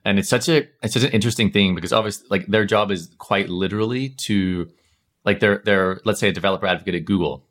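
A man's voice: on the low side, fast talker, a bit bright. A Northern Irish man hosting a podcast, rambling casually with many fillers and restarts. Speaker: podcast host.

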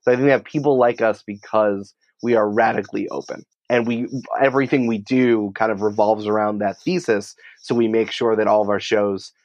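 Low-voiced man chatting, slightly dull audio. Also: fairly even intonation, normal speed, hardly any pauses, a little mellow, no echo. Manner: chatty, explanatory, peppered with "like". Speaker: interview guest, podcast company creative head. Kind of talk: casual interview conversation.

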